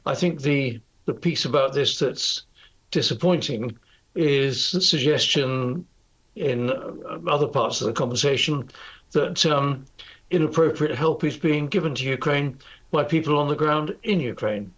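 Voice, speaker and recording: An older man with a British accent giving political commentary as an interview guest, formal, measured and hesitant. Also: on the high side, fairly bright tone. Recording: slightly dull, lo-fi broadcast audio.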